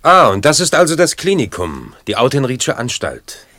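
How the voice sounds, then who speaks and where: An actor playing a curious gentleman visitor in a German radio drama. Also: mid-range voice, wildly swooping pitch, normal speed, reverberant, long reverb tail. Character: curious male visitor inspecting an asylum.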